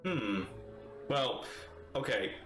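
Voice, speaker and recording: Rambling, excitable streamer guy. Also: mid-range voice, extremely slow. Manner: chatty, rambling, excitable, many restarts. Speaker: streamer reacting to a game. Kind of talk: casual livestream commentary. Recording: roomy room sound with game music.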